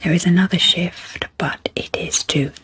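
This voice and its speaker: A woman speaking very softly and breathily in an ASMR style, gently introducing guided relaxation with unhurried, pausing phrases. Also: fairly low pitch, fairly even intonation, no echo. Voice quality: soft, breathy, half-whispered. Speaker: host, ASMR psychologist. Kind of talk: soft-spoken ASMR guided sleep meditation introduction.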